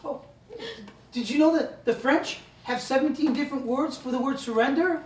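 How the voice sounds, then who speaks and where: A woman's voice: sits high, normal speed, a little mellow, close to an everyday voice. Woman as nerdy male know-it-all: eager, pedantic, oblivious, comedic sketch, distant echoey sound. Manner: earnest, pedantic. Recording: echoey room, distant mic, faint noise.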